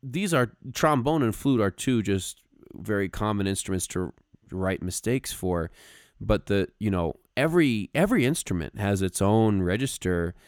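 A man's voice: lowish voice, animated, moderate pace, no echo, clean studio sound. A man co-hosting a podcast, casually explaining music with emphatic, stop-start phrasing. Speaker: podcast co-host.

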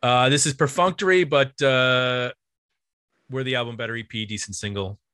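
A man's voice: medium pitch, moderate pace, sharp tone, clean tone, no echo, studio quality. A man hosting a podcast, talking casually about music in a rambling, enthusiastic way. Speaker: podcast host.

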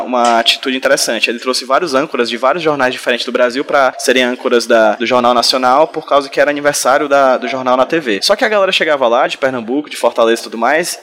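A man talks at a rapid clip in a relaxed group podcast chat. He speaks with passion, restarts his phrases and keeps checking in with his listeners. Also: medium pitch. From Northeast Brazil, Ceará. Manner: fast, passionate, full of restarts and tag questions. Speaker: podcast guest, comics creator. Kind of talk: casual group conversation.

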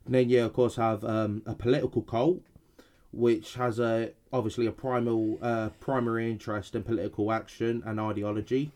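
A man's voice: mid-range voice, flat delivery, normal speed, darkish tone. A man co-hosting a podcast, sounding a bit nasal as he recovers from an illness, reading definitions from notes with some stumbles and restarts. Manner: reads definitions with hesitations and restarts. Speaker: podcast co-host.

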